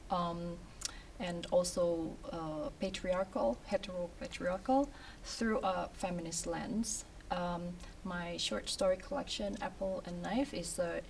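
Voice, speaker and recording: A woman scholar speaks on a university panel in a measured, halting way, full of fillers. Her voice is on the low side. It reaches a distant mic with faint room noise. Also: a little mellow. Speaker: academic and author. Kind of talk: panel talk. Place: event room.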